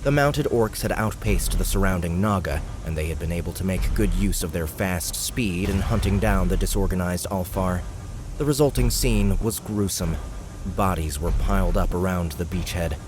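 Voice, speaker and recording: Brief: male storyteller for scripted fantasy audiobook, lower register, faint noise behind the voice. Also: moderate pace. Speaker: audiobook narrator.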